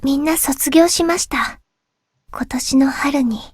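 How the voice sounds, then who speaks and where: A woman voicing a shy, wistful teenage schoolgirl in a visual novel, with a soft girlish voice and polite, hesitant phrasing. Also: high-pitched, moderate pace, dry recording, studio quality, close to an everyday voice. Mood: quietly sad. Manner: polite, hesitant, speaking in short gentle phrases. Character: shy, wistful teenage schoolgirl, recently back from long absence. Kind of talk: Japanese visual novel voice acting.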